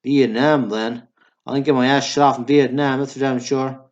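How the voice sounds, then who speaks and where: A man's voice: mid-range voice, little pitch movement, normal speed, dull audio, natural voice. A man playing a blunt, bickering small-town Southern brother in a radio drama. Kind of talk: stage comedy dialogue, radio drama acting.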